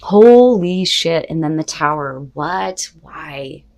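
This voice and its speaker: A woman with a fairly low-pitched voice reads tarot cards for her viewers. She speaks off the cuff in a casual, expressive, conversational manner, peppered with rhetorical questions. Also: takes it slowly. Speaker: tarot reader and host. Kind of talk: casual, unscripted tarot reading addressed to viewers.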